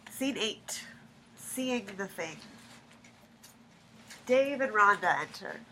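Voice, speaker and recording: Bright female narrator, mid pitch, scripted stage directions, faint background noise. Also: expressive, takes it slowly, low-quality recording.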